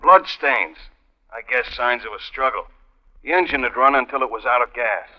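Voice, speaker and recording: Actor as a folksy boat guide on muffled radio. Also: male voice, medium pitch, normal speed, natural voice. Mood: matter-of-fact. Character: helpful, easygoing male local boat guide. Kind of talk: 1950s radio drama acting. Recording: muffled vintage radio broadcast.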